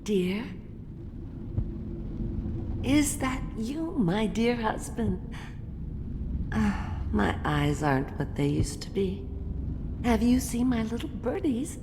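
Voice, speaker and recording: Doddering old granny, video game. Very slow, wandering, sweet yet unhinged, in a long echoing space with noise behind. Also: female voice, on the high side, lively intonation. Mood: wistful, doting. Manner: wandering, cooing, muttering to herself. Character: doddering, eerie old granny, sweet but half-mad. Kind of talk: video game character acting. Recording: echoey hall, clear background noise.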